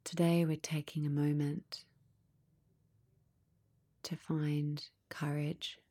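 Slow, hushed, husky woman guiding meditation. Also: deep voice. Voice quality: soft, breathy, husky, near-whisper. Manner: calm, unhurried, long pauses between short phrases. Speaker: guided meditation leader.

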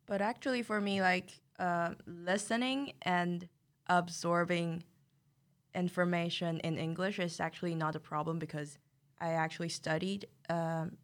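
A young woman from Taiwan, a native Mandarin speaker, talking as a podcast guest in a thoughtful, measured way. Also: lowish voice, steady tone. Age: young adult. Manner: thoughtful, measured, uses "like" as filler. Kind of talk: casual chat.

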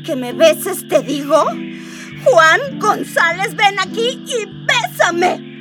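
Very high-voiced, haughty young princess. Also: female voice, lively intonation, takes it slowly, far from the mic, over music, heavily acted. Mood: indignant, imperious. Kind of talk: comedic radio drama acting.

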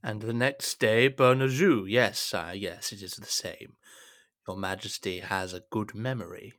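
A man playing a scheming, suspicious cardinal in a period audio drama, speaking in a measured, insinuating, courtly manner. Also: on the low side, a bit bright. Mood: probing. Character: smooth-tongued, scheming, suspicious cardinal and statesman.

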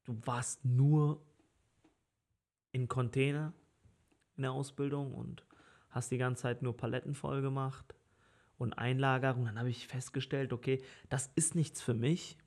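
A man's voice: mid-range voice, flat delivery, a little slow, long pauses, darkish tone. A man in his thirties, a party organizer, chatting casually on a podcast with lots of fillers and easy laughter. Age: 30s. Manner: easygoing, laughs often, many fillers.

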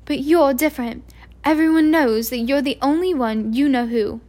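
Woman doing a strict snappy witch teacher, high and loud, impatient. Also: normal speed, close to an everyday voice. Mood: irritable. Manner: clipped, sharp, impatient.

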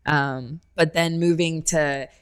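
Woman, thirties, low-pitched voice, casual conversational storytelling. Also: fairly even intonation, slow, clean tone. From Canada. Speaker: interview guest.

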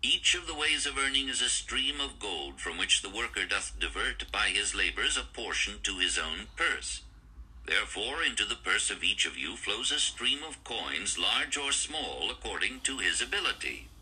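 A man voicing a wise, encouraging ancient teacher in a theatrical, archaic audiobook style, with a husky voice. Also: on the low side, sing-song, normal speed, pushed and bright, voice pushed up, heavily acted, poor audio. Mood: lofty, questioning.

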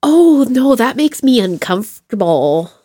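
High-voiced woman, playful podcast host. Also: a little slow, breathy edge, no echo, studio quality. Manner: playful, expressive reactions. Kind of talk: casual chat.

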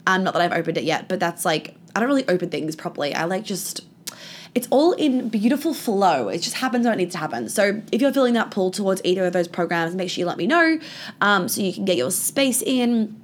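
A woman with a husky, hoarse voice from laryngitis, hosting a podcast in a casual, candid chat and swearing freely. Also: mid-range voice, sing-song, fairly quick. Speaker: podcast host and life coach. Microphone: video call.

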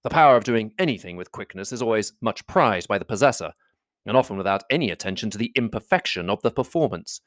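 A reader as a proud, reserved gentleman. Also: medium pitch, expressive, no room sound, studio quality, natural voice. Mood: critical. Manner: measured, curt, formal. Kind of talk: classic novel audiobook dialogue.

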